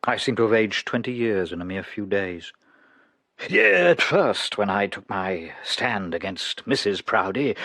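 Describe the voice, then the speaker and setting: Faltering, henpecked English bishop, slow and low. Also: male voice, fairly bright tone, slightly rough, full character voice. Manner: hesitant, stammering, apologetic. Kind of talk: British radio costume drama acting.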